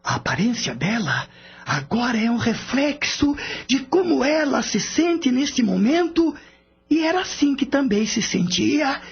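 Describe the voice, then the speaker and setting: A wise, patient spirit mentor played by a man in a radio drama. The voice is high for a man and swoops expressively, with a husky grain. The recording is a bit dull. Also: heavily acted. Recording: dull lo-fi audio with background music.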